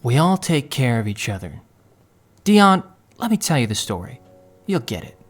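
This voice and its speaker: A man's voice: medium pitch, very animated, moderate pace. An actor playing a young man in a wheelchair who wistfully narrates his childhood. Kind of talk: audio drama narration. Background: music.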